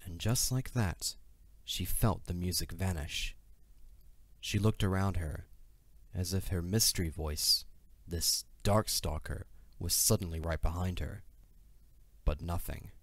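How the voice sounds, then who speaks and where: A man's voice: low voice, unhurried, pauses often, no echo. A man narrating an audio drama from a script as the storyteller. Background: soft music.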